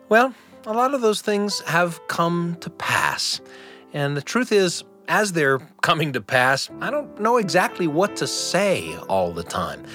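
A middle-aged man hosting a radio show, telling a personal family story in a gentle, reflective, earnest way. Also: mid-range voice.

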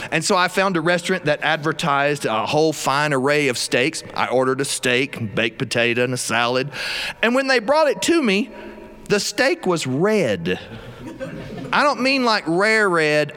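A man's voice: fairly high pitch, fairly bright tone. A man from South Carolina with a Southern US accent, telling humorous personal stories live in a folksy, theatrical way. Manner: folksy, theatrical, acts out dialogue. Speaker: storyteller. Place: recording studio, live performance.

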